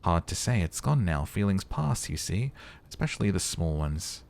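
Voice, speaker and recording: A man's voice: low voice, very animated, normal speed, vocal fry, darkish tone. A man hosting a video game playthrough, mixing casual muttered commentary with dramatic reading of game text. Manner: thinks aloud, muttering and humming to himself.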